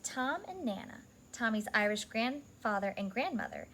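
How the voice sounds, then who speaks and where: A woman reading a picture book aloud to young children in an expressive, deliberate storytelling style, adding asides for her listeners. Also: a little high, a little hiss or hum.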